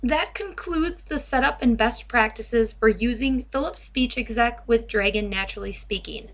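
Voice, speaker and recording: A woman software trainer narrating a step-by-step demo in a measured, instructional way. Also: a little high.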